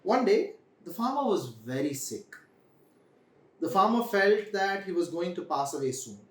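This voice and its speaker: A young man tells a children's story in a high, very animated voice. He phrases things deliberately and repeats them for emphasis. The small room echoes around him. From India. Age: young adult. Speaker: storyteller host. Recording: echoey room, distant microphone.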